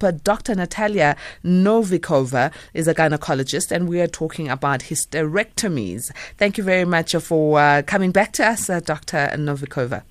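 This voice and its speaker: Low-voiced female radio host. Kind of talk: late-night radio interview. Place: radio studio. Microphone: studio microphone.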